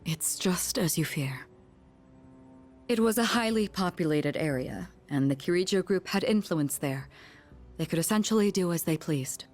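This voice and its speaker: A woman playing a composed, guilt-burdened high school senior girl in a video game, speaking in a formal, measured way. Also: lively intonation, a little mellow, faint music behind, close to an everyday voice. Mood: somber.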